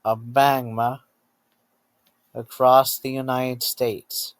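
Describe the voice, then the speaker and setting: A man narrating a scripted emergency alert as an announcer, halting between short phrases and often repeating himself to rephrase. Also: medium pitch, flat delivery, dry recording.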